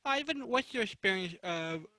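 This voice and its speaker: A man moderating a casual convention panel interview, rephrasing his questions in a halting way as he goes. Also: high voice, lively intonation, pushed and bright, dry recording.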